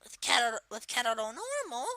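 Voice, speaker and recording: Woman putting on a young male cartoon turtle villain, bright and gravelly, informal rambling tutorial delivery, dry close sound. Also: on the high side, big pitch swings, moderate pace, no echo, heavily acted. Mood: explaining, casual. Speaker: tutorial presenter. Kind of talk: unscripted screen-recording software tutorial.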